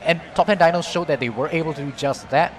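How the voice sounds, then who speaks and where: A man doing color commentary for an esports broadcast, explaining plays in a measured way. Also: a little high. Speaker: esports co-caster.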